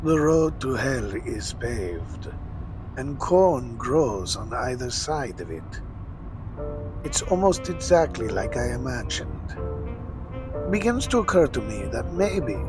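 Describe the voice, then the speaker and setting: A man playing a worn-out old villain clown, narrating an audio drama with wry, weary self-mockery. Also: mid-range voice. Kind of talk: first-person noir-like audio drama narration.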